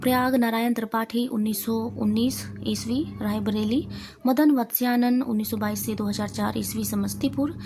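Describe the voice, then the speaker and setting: A woman teacher reads out exam revision questions, answers and lists, reciting deliberately item by item. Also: on the high side, steady tone. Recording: clearly noisy amateur recording.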